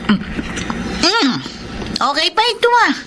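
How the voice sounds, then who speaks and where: A woman's voice: very high-pitched, sing-song, measured pace, noisy background, full character voice. A woman playing a brazen, sly middle-aged eatery owner in a radio drama, brusque and exclamatory, with a Filipino accent. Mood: bossy, unbothered. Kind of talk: Tagalog radio drama acting.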